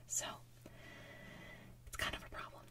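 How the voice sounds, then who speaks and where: A woman's voice: measured pace, bright, forceful tone, distant mic. A young woman whispering a casual retelling of a children's story, full of "so basically" and "like".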